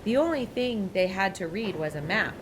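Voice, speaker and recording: A woman reading a children's picture book aloud with expressive narration. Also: medium pitch, normal speed, noisy background. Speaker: storybook reader.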